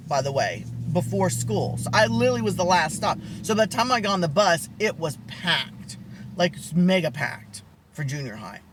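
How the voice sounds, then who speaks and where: High-voiced man rambling through a story. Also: a bit bright, slight background noise. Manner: rambling, candid, lots of restarts and asides. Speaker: vlogger. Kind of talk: casual storytelling to camera.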